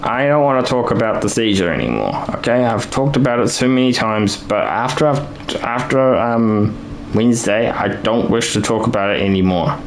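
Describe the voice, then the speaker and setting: A man's voice: lowish voice, animated, normal speed, a little hiss or hum, rough recording. A man live-streaming a building game, rambling and thinking aloud with lots of restarts while he is losing his voice.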